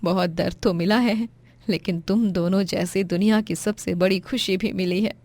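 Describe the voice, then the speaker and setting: A woman playing a gentle, patient, self-sacrificing poor mother, earnest and sentimental, in a moral story. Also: medium pitch, fairly even intonation, moderate pace, dry recording, close to an everyday voice. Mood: grateful, moved. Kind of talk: dramatised Hindi moral story.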